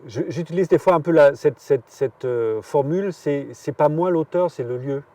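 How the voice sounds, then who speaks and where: A man's voice: a little high, normal speed, mellow, far from the mic. A middle-aged man from southern Germany, a curator giving reflective interview answers, often hesitating and restarting. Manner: hesitant, many fillers and restarts. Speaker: artist and biennial curator.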